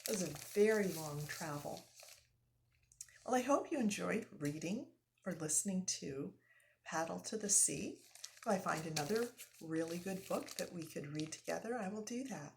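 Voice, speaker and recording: A woman reading a children's story aloud live, expressive and explanatory, with casual asides to listeners. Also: on the low side, moderate pace, creaky, a little hiss or hum, poor audio.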